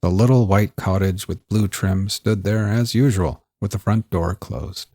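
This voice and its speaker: Low, husky male storyteller. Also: unhurried, dark tone, no echo. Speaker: podcast host and narrator. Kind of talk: scripted crime story narration; old-school mystery show presenting.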